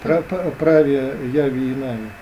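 A man talking as a guest in an interview, hesitant and full of drawn-out fillers. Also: mid-range voice, warm tone, a little hiss or hum, low-quality recording. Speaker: guest expert. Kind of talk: conversational interview.

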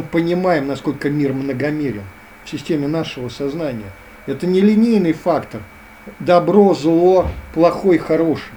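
A man's voice: a little high, warm tone, a little hiss or hum, rough recording. A man speaking as a guest in a philosophical interview, earnest and lecturing, often circling back to the same phrases.

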